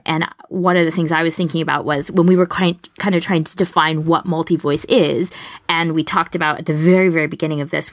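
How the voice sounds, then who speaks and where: A woman's voice: low-pitched, no echo, clean studio sound. A woman co-hosting a podcast, explaining things in a casual, conversational way. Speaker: podcast co-host.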